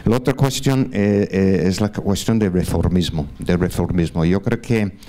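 A man in his sixties speaks from the floor of a large lecture hall, holding a handheld mic. His voice sits low, and his Spanish, not his first language, stumbles along with frequent fillers and restarts. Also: normal speed, warm tone. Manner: hesitant, many fillers and restarts. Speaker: audience member at a conference. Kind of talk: question from the floor to a panel. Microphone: handheld mic, standing in the audience.

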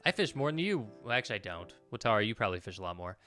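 A man's voice: fairly low pitch, big pitch swings, racing, pushed and bright. A man in his thirties doing casual let's play commentary, muttering to himself and swearing offhandedly. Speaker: gaming video host. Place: small indoor room at home. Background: game music.

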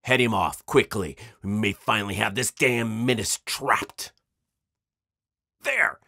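A man's voice: on the low side, takes it slowly, gravelly, pushed and bright, dry recording, studio quality, heavily acted. A man playing an urgent police commander in an audio drama, barking orders at his squad. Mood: commanding. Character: urgent male police commander hunting a monster.

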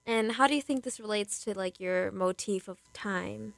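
A woman's voice: medium pitch, moderate pace, vocal fry, no echo. A young woman interviewing someone in a casual, informal and affirming way.

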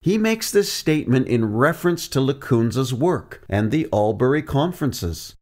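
A man's voice: mid-range voice, animated, a little mellow. Man narrating a scripted sermon. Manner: measured, reads quotes from sources aloud. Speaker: preacher.

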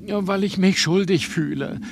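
An actor playing a guilt-ridden, gullible grieving son in an audio drama, earnest and halting. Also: male voice, high-pitched, creaky, background noise. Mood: pleading, guilt-ridden. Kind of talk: radio play acting.

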